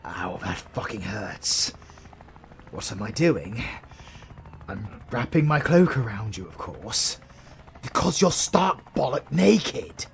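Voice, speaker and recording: A man acts out a British comic-book supervillain with a highly animated, gravelly voice. He is theatrical and menacing, yet easily exasperated and secretly smitten with his heroine rival, in a romantic comedy audio drama. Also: takes it slowly, a little hiss or hum, exaggerated voice. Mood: pained, tender.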